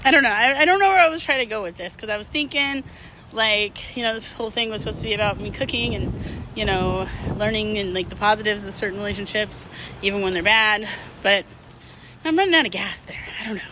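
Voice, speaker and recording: A woman's voice: medium pitch, fast, sharp tone, a little hiss or hum, rough recording. A middle-aged woman talking casually and unscripted to her viewers, candid and slangy, rambling and drifting mid-thought. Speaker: vlog host.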